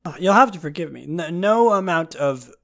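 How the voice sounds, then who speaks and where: A man hosting his own show, his throat hoarse and raspy from a long stretch of talking. His voice sits a little higher than most men's. He talks loosely, circling back and restarting sentences, with wry jokes at his own expense. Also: expressive, moderate pace, a bit bright. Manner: rambling, with frequent restarts and self-deprecating asides. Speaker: podcast host. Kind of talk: casual solo commentary, partly reading a write-up aloud.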